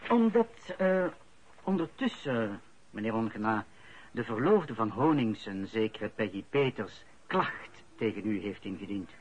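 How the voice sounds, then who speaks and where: An actor playing a probing, politely insistent police inspector with a Flemish accent in a radio play. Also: male voice, lowish voice, lively intonation, measured pace, a little hiss or hum. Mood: probing, insinuating. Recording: dull lo-fi radio play recording.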